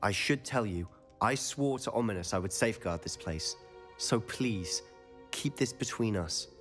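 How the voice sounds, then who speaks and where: An actor playing a confident, mischievous and secretive teenage male wizard student in a video game, speaking in a measured, confiding manner. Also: fairly low pitch, expressive, a bit bright, soft background music. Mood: confiding, earnest. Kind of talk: video game dialogue acting.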